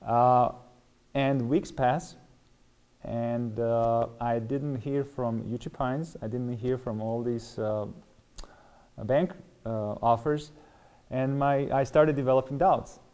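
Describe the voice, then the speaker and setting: Male interview guest, middle-aged, Serbian accent, dark relaxed mid-range voice, hesitant storytelling. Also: mid-range voice, takes it slowly. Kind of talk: personal testimony in interview.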